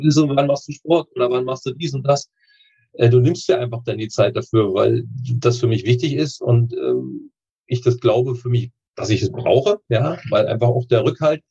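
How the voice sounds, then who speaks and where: A man with a relaxed, dark voice talks as an interview guest over a dull, lo-fi recording. He is informal and matter-of-fact, and his phrasing comes in halting pieces. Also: medium pitch, slightly rough. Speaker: interview guest, dentist with orthodontic practice. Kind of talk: casual chat interview. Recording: dull, muffled lo-fi connection.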